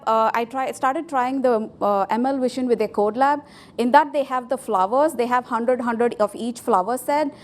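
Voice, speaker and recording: A woman whose first language is Tamil, giving a conference talk with a live demo, speaking informally with fillers and restarts. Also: on the high side. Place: conference hall.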